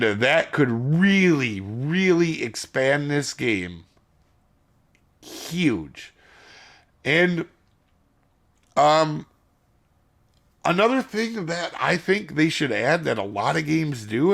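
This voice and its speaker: A man's voice: mid-range voice, creaky, fairly bright tone. A man hosting a gaming channel, chatting casually to his viewers while losing his voice and rambling from point to point. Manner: rambling, addresses viewers as guys. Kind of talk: casual video commentary.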